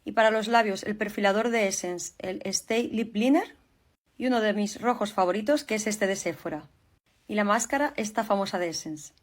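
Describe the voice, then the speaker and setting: A woman from Seville with an Andalusian accent, softly and warmly walking viewers through her makeup products. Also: mid-range voice, normal speed. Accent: Andalusian Spanish, Seville. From Seville, Spain. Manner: soft, enthusiastic product run-through. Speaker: makeup content creator. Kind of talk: casual makeup tutorial to camera. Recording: music playing behind the voice.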